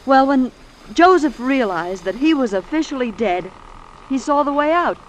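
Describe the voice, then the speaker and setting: Anxious American secretary in radio melodrama. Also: female voice, high voice, expressive, normal speed, poor audio. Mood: confiding. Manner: earnest, melodramatic. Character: anxious, ambitious woman secretary pleading and confiding. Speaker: actress playing the secretary. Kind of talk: old-time radio suspense acting. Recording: vintage radio drama, faint background noise.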